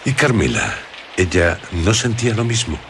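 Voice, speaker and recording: An attentive male questioner with a very deep, expressive voice. His Castilian Spanish is probing and measured, in radio-play style, over rain-like noise. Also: creaky, full character voice. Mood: curious, concerned. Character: attentive male listener gently questioning a troubled woman. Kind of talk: radio drama dialogue.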